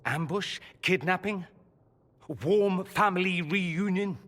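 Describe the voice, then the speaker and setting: A man's voice: medium pitch, big pitch swings, moderate pace, pushed and bright. An actor playing a witty, proud, bitter young nobleman mage in a video game, with a sardonic, theatrical and eloquent delivery. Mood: angry, biting. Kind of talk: dramatic video game acting. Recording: long hall reverb.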